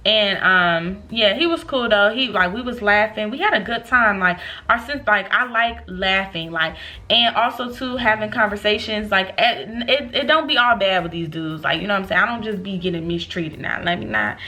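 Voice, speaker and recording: A woman in her late twenties telling a storytime to her viewers in an animated, chatty way, calling them y'all. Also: medium pitch, normal speed. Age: 20s. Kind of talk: casual storytime vlog.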